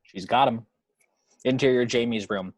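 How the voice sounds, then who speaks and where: A man with a husky voice reading scripted screenplay action descriptions as narrator. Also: mid-range voice, moderate pace, dry recording.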